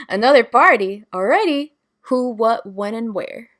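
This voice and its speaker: Woman acting a high-voiced, brash young party girl. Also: very animated, takes it slowly, a bit bright, full character voice. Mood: breezy, playful. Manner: casual, profane, sardonic. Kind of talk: audio drama acting.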